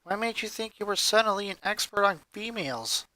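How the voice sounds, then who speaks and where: A story reader voicing a blunt but secretly caring young girl, with hushed, questioning delivery. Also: mid-range voice, animated, brisk, no echo, voice pushed up, low-quality recording. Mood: curious, wry.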